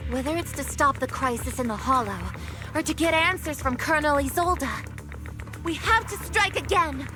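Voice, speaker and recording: A woman playing a small, earnest, excitable girl soldier in a video game. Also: high voice, normal speed, lightly acted. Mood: fired up. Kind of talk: scripted video game acting. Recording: game music behind.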